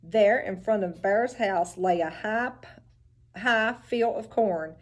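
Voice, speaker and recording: A woman reading a storybook aloud as a teacher to children, expressive and instructive, with chatty asides. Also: mid-range voice, slow, muffled recording.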